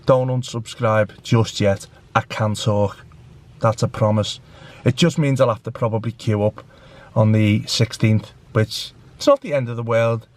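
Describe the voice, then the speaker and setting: A man's voice: lowish voice, fairly even intonation, a little slow, a little mellow. A young man talks to his camera in a loose, rambling vlog. He pokes fun at himself as he goes. His voice carries a trace of a recent bout of flu. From England. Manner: chatty, self-mocking, rambling. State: recovering from flu. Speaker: vlogger. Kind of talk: casual vlog chat to camera.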